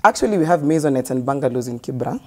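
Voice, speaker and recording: Mellow-voiced Kenyan woman telling her story. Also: rumbling low, expressive, very fast. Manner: earnest storytelling, switching between English and street slang. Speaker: guest. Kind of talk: conversational life story.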